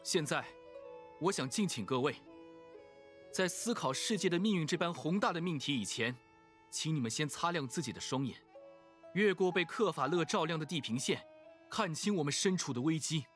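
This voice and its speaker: A man's voice: on the high side, expressive, normal speed, a bit bright, soft background music. A man playing an earnest young hero who addresses an assembly in a video game, solemn and impassioned. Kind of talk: dramatic game oratory in Mandarin.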